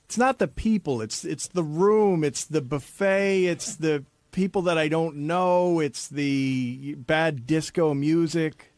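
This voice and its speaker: A man bantering casually on the radio, making his points in an emphatic, deliberate way. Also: on the high side, a little mellow, dry recording.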